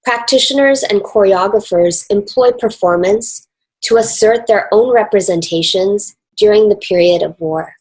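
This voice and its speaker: A woman with a North American accent reads a scripted academic talk in a formal, measured and deliberate way. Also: medium pitch, a little mellow, low-quality recording. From Canada and the United States. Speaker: academic speaker, author and dance researcher.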